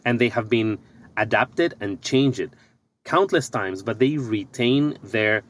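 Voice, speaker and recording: A man's voice: medium pitch, lively intonation, a bit bright. Thoughtful man from Ecuador, many restarts. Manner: thoughtful, pauses and restarts often. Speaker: guest, architect and business coach. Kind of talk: conversational podcast interview.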